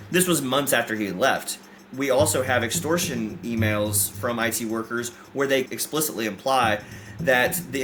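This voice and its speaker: Bright-voiced man explaining plainly. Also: mid-range voice. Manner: direct, matter-of-fact explaining. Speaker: security researcher. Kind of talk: interview explanation.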